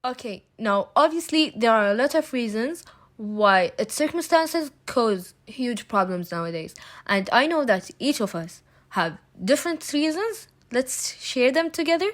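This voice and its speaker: A woman's voice: on the high side, animated, measured pace, no echo. Young woman from Kuwait presenting a seminar. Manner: reads prepared remarks, small restarts. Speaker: student presenter.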